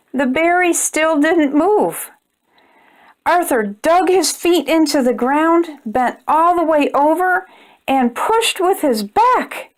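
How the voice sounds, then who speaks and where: A woman hosting a children's storytime, reading aloud expressively and speaking directly to young listeners. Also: high-pitched. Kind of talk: children's read-aloud narration.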